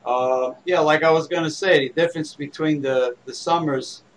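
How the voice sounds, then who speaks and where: A man from the East Coast of the United States, a co-host in casual chat who talks haltingly with many fillers. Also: on the high side, steady tone, slight background noise, rough recording.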